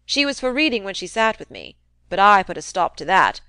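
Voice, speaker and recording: Crabby old landowner, loud and pushy, dry close sound, a woman reading him. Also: medium pitch, very animated, fairly quick, no echo. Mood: cantankerous. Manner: gruff, wheedling, loud and emphatic. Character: cantankerous domineering old country man.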